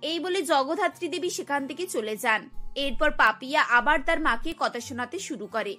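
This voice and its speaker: High, animated woman narrator. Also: moderate pace, faint music behind. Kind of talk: scripted cartoon story narration.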